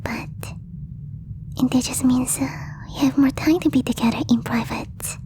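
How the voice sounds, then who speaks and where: A woman voicing a possessive, lovestruck alien girl in a breathy whisper, with slow, stiffly formal phrasing for an ASMR roleplay. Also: high-pitched, steady tone, warm tone. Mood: affectionate, coy. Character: possessive lovestruck alien girl, stilted speech, shyly obsessive.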